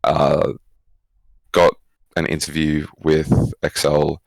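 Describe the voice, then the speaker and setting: A man's voice: extremely low pitch, monotone, slow pace, creaky, no room sound. A young man with an Australian accent, answering interview questions casually and reflectively, rephrasing as he goes. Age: young adult. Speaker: esports coach, former pro player, guest.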